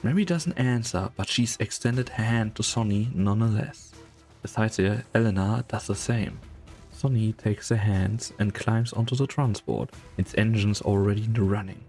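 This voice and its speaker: A man's voice: lowish voice, moderate pace, darkish tone, faint music behind, natural voice. A man reading scripted story narration as the narrator of a game fan dub.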